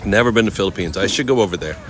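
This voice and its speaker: A man's voice: on the low side, rapid-fire, slight background noise. Laid-back man chatting on a walk. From Oakland, California. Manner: easygoing, chatty, laughs often, reads out viewer comments. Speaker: vlogger answering live chat. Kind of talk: casual live-stream walk and chat. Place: outdoors at a city temple courtyard.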